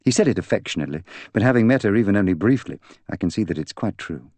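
Man voicing a bitter, intense young woman, low-pitched. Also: animated, fast, a little mellow, no echo. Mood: scornful. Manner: candid, articulate, intense. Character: proud, bitter young woman confessing to killing her fiancé. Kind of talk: audiobook character dialogue.

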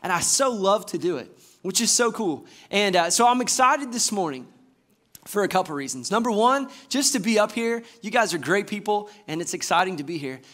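A man in his thirties from Georgia, an assistant pastor preaching a lively sermon on a church stage and asking the congregation questions. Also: sits high, brisk. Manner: enthusiastic, gestures widely, asks the congregation questions. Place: church stage before a screen.

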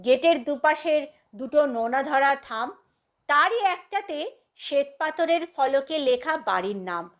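A woman storyteller giving an expressive scripted narration of a tale. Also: sits high, unhurried.

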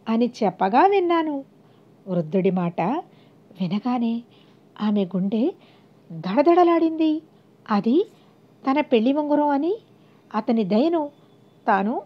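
A woman narrating a scripted moral story as an audiobook storyteller, on a lo-fi recording. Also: sits high. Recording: dull, lo-fi voice recording.

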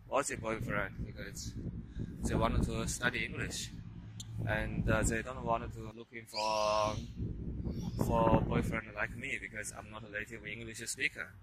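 Man, Chinese accent, young adult, lowish voice, hesitant, lots of fillers, phone camera outdoors, clear background noise. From China. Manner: hesitant, many fillers and restarts. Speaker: vlogger. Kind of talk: casual vlog monologue. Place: outdoors on a university campus. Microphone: handheld phone camera while walking.

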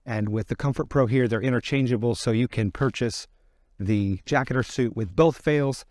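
A middle-aged man gives a measured, plain-spoken explanatory product demonstration as a presenter. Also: medium pitch, darkish tone, no room sound, studio quality. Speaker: presenter of a beekeeping product guide. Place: outdoors in an open gravel yard by fields.

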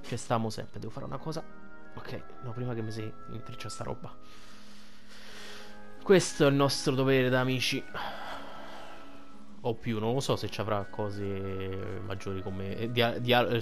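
A man's voice: fairly low pitch, fairly even intonation, moderate pace, soft background music. A man giving casual, blunt, slangy commentary as he plays a video game.

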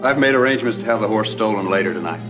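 An actor in his thirties playing a charming, breezy young swindler in an old TV western, smooth-talking and nonchalant. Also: fairly low pitch, racing, natural voice. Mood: confiding. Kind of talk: 1960s TV western acting. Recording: dull old TV soundtrack under music.

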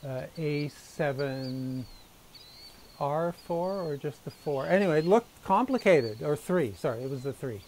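Higher-voiced Dutch-native host. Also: male voice, lively intonation, unhurried, a little mellow, slight background noise. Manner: earnest, hesitant with restarts. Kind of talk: casual chat. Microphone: lapel.

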